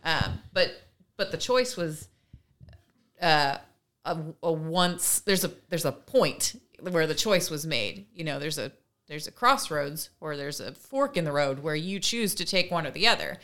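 Woman podcast cohost, lowish pitch, bright forceful voice, explaining stuff earnestly, chatty. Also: lively intonation, measured pace. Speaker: podcast co-host. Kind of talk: casual conversational discussion.

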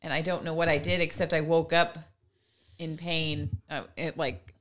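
A woman's voice: deep voice, little pitch movement, moderate pace, a bit bright. An American woman in her thirties or forties co-hosting a podcast, chatting casually in a playfully wry way. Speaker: podcast co-host. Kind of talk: casual chat.

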